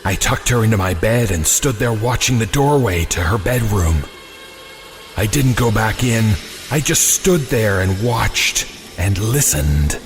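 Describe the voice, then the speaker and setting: A man reading a scripted first-person horror story in a suspenseful, dramatic way with deliberate pauses. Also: lowish voice, animated. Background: music.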